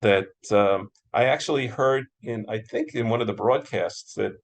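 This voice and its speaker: Casting: American man, moderator type, creaky voice, enthusiastic and agreeable in casual conversation. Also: lowish voice, moderate pace. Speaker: interviewer, moderator. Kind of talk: casual interview chat about classic radio.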